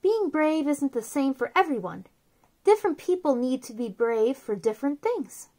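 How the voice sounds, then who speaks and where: A woman in her thirties speaking to young children as a story time host, with an expressive, simple delivery. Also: high-pitched, normal speed. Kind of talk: children's read-aloud and chat to young viewers.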